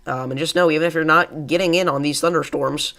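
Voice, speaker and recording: A man's voice: fairly high pitch, fairly even intonation, quick pace, vocal fry, bright, forceful tone. Congested young man hosting live weather. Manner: casual, rambling with fillers. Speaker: weather stream host. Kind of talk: live weather coverage, reading chat.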